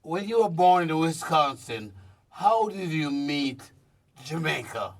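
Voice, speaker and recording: A man's voice: fairly high pitch, expressive, slow pace, recorded from a distance. A man hosting an interview, asking probing questions with emphatic phrasing. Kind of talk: casual interview.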